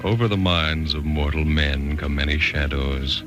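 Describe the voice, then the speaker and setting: Deep American male announcer, slow, portentous, theatrical 1940s radio style, loud organ music behind.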